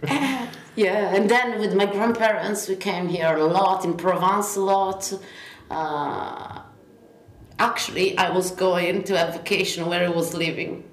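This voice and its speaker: Italian-accented young woman, low-pitched. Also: steady tone, measured pace. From Italy, Piedmont. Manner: rambling, lists with many fillers. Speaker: guest. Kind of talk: casual interview chat. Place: apartment in Bordeaux. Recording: roomy with faint noise.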